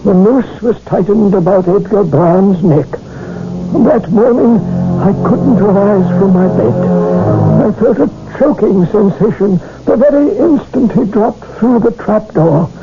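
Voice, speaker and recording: An actor playing a harsh, haunted old English judge in a theatrical, declamatory radio drama style. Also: male voice, high-pitched, slow pace. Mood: haunted, weary. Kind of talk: 1970s radio drama acting. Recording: muffled old radio broadcast, dramatic music behind.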